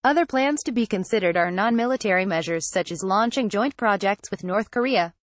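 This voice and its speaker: A woman reading a scripted news article as a narrator, in a formal, measured manner. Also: medium pitch, expressive, dry recording.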